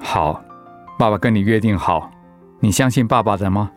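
An actor playing a gentle, steadfast, reassuring middle-aged father in a Mandarin radio drama. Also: fairly low pitch, moderate pace, faint music behind, lightly acted. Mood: soothing.

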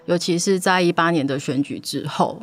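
A woman's voice: fairly low pitch, normal speed, faint music behind. A woman with a Taiwanese Mandarin accent, talking casually as a guest author explaining her writing on a podcast.

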